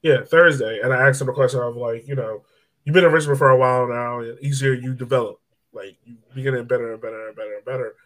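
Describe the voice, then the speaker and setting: Guy hosting a soccer podcast, normal pitched voice, super casual, says like and you know a lot. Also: fairly even intonation, quick pace, a bit bright. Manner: conversational, peppered with "like" and "you know". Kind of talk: casual sports talk.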